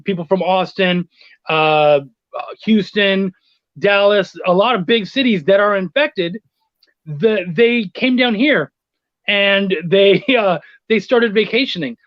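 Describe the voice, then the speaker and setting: A middle-aged man telling anecdotes in a casual interview, blunt and quick to swear. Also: high-pitched, dry recording, dull audio. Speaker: interview guest, freelance writer.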